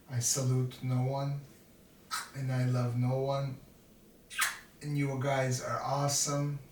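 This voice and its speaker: Slow self-mocking man in his fifties, set back from the mic in a roomy space. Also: mid-range voice, slight background noise. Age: 50s. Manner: slow, self-deprecating, jokey asides to himself. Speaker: vlogger. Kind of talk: rambling self-mocking monologue. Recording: roomy, from a distance.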